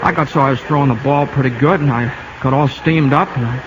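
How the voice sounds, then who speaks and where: Halting, modest young ballplayer played by a man, noisy old radio. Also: medium pitch, natural voice. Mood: afraid, discouraged. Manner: hesitant, halting, folksy. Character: modest, self-doubting young male ballplayer making a comeback. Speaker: radio drama actor. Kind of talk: 1950s radio drama acting. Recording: noisy, muffled 1950 radio recording.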